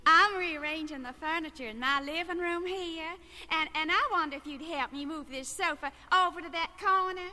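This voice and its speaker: A woman's voice: very high voice, moderate pace, bright, forceful tone, heavily acted. A woman voicing a sweet, fussy female puppet on a children's record, with exclaiming, dithering delivery. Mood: coaxing.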